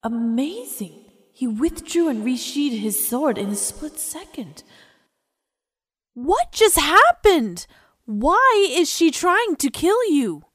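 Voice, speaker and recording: A woman's voice: high voice, sing-song, takes it slowly, fairly bright tone, dry recording, studio quality, full character voice. A woman playing a playful, bossy, affectionate tease with theatrical delivery in an anime-style drama. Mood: astonished. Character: teasing, affectionate, bossy woman, old acquaintance of swordsman. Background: music.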